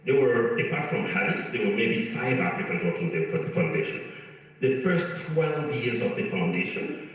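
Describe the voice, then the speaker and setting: A low-voiced man from Rwanda answers panel questions in a convention hall, earnest and halting, often restarting his sentences. He sounds distant from the microphone, with heavy room echo and a long reverb tail. The sound is somewhat dull. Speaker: foundation leader, panel guest. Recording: echoey hall, far from the mic, dull sound.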